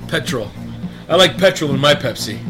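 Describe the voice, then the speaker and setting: A man's voice: a little high, expressive, normal speed, music in the background. A man co-hosting a radio show, joking around in casual banter and often trailing off mid-sentence. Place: studio in Ohio.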